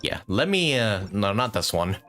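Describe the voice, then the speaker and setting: A man's voice: lowish voice, very animated, moderate pace, fairly bright tone, faint music behind. A man chatting casually as a live game streamer, rambling and thinking aloud with some mild swearing. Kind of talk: casual gaming stream commentary.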